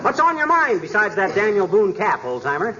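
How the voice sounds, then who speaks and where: A man's voice: sits high, big pitch swings, fairly quick, a crowd in the background. Blustery wisecracking man on old radio. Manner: blustery, wisecracking, hums while working. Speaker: sitcom lead actor. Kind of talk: 1940s radio acting. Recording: noisy muffled old radio broadcast.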